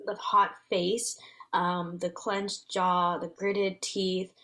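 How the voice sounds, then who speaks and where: A woman's voice: fairly low pitch, level tone, drawn-out words, sharp tone. A woman in her thirties whose first language is Vietnamese, speaking as an interview guest in an earnest, searching way with many fillers and restarts. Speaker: guest, transformation facilitator. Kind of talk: casual reflective interview talk.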